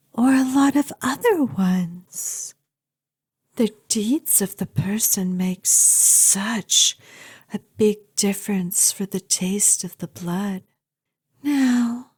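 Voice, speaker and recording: A woman playing a doting, obsessive ancient vampire girlfriend in an intimate ASMR roleplay, with a soft, breathy, often whispery and lingering delivery. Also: mid-range voice, no echo, clean studio sound. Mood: delighted, savoring.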